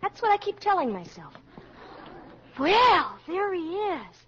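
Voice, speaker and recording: A woman's voice: extremely high pitch, very animated, unhurried, slight background noise, exaggerated voice. An actress playing an eager, giggly teenage freshman girl, chummy and slangy, in 1940s radio style. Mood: giddy, nervous. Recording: dull 1940s radio broadcast.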